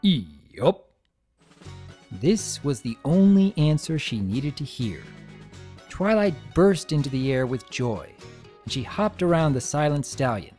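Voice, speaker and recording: A man's voice: fairly high pitch, measured pace, faint music behind. A man narrating fan fiction in a theatrical, scripted dramatic reading style. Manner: expressive, theatrical.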